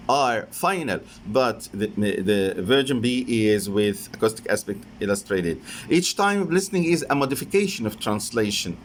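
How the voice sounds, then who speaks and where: A man with an Egyptian accent, a native Arabic speaker, presents an academic paper as a professor, in a halting, hesitant delivery that often repeats phrases. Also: mid-range voice, a little hiss or hum. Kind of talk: academic conference lecture with slides.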